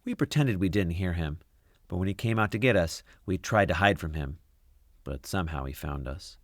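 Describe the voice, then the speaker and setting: A man reading a children's story aloud in an expressive storytelling style. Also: low voice, normal speed, no room sound, studio quality. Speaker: storybook reader.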